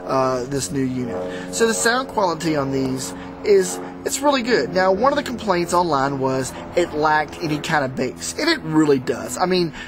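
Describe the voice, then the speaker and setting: A man giving a casual, unscripted product review to viewers in a halting, rambling, conversational way. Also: fairly high pitch, expressive, over music. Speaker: product reviewer, host of a motorsport video channel.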